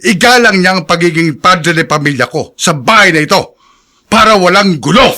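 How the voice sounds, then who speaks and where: A hot-tempered Filipino patriarch in a radio drama. His voice is sharp and rather high, swinging widely as he scolds and lays down the law. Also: expressive, normal speed, heavily acted. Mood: indignant, stern. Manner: domineering, scolding. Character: stern, hot-tempered patriarch father, middle-aged.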